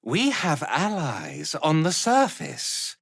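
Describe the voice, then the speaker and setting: An arrogant fantasy game villain, a zealot commander who sneers at everyone beneath him. He speaks very slowly and deliberately, with theatrical swings in pitch, in a close, dry recording. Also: huge pitch swings, gravelly, fairly bright tone, slightly rough, dry recording, full character voice. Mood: haughty, scornful. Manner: haughty, imperious, theatrical delivery. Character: arrogant, contemptuous drow zealot commander, cruel and impatient. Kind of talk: dramatic video game voice acting.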